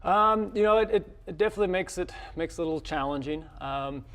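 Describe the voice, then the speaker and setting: A man, a college coach, answering interview questions in a television studio in a halting, modest way that often repeats words. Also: sits high, fairly bright tone. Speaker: college tennis head coach, interview guest. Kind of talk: unscripted interview talk.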